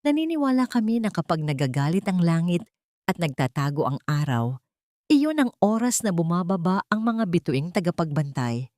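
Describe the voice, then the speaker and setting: A woman voicing a gentle, protective young housemaid in an audio story, tender and soothing as she comforts frightened children. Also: fairly low pitch, sing-song, moderate pace, no room sound, studio quality.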